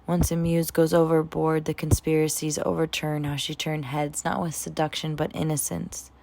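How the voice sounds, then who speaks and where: A young woman poet reads her spoken word piece in a low voice that crackles with vocal fry. Her delivery is measured and dramatic, yet the melody stays very flat from phrase to phrase. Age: 20s. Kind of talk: spoken word poetry reading.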